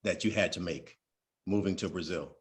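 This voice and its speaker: A man's voice: sits low, normal speed. A man hosting an interview, asking questions and giving short encouraging affirmations. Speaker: interview host.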